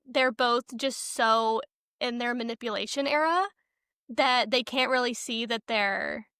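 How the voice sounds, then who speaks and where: A woman's voice: fairly high pitch, unhurried, pushed and bright, smooth, dry recording, studio quality. A woman hosting a podcast in casual chat, speaking informally with youthful slang. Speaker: podcast host.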